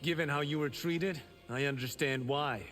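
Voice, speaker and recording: A man voicing a brash, sociable young fighter in a video game, with an animated, cocky delivery. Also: a little high, moderate pace, faint music behind, lightly acted. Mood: cocky, friendly. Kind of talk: video game character acting. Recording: long hall reverb, faint noise.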